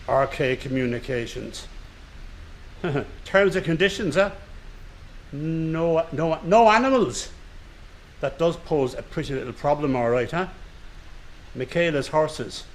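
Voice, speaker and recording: A sly, insolent circus hand in a radio drama. He talks in a smug, mocking way, pitched slightly high. The recording has some background noise. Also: takes it slowly, pauses often. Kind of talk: naturalistic radio drama acting.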